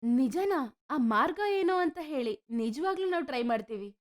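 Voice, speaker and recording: A woman voicing a frank, warm-hearted young village daughter in a story. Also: high-pitched, expressive, unhurried. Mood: surprised. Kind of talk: animated moral story dialogue.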